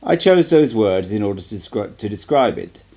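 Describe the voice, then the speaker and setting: Man reading as stern, mellow-voiced old sorcerer teacher. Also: on the low side, very animated, normal speed. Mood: solemn, emphatic. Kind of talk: amateur audiobook character reading.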